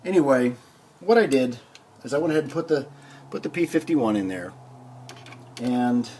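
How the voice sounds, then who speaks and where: A man hosting a hands-on repair video, explaining things informally and thinking aloud with hesitant pauses. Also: medium pitch, animated, darkish tone, slight background noise. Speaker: host of a record player restoration video. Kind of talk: casual hands-on demonstration.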